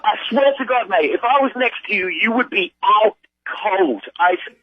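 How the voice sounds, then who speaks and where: High, husky British man on muffled phone. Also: lively intonation, normal speed. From Britain. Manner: exasperated, blunt, slangy. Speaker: prank call victim, phone caller. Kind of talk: informal phone conversation. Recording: muffled lo-fi telephone line.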